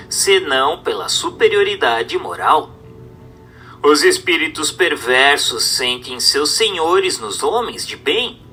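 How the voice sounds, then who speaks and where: A man with a Brazilian accent reads a religious text aloud as a narrator, in a formal, measured, didactic manner over music. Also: medium pitch, bright, forceful tone. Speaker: narrator reading a book aloud. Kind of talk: scripted audiobook-style narration of a religious text.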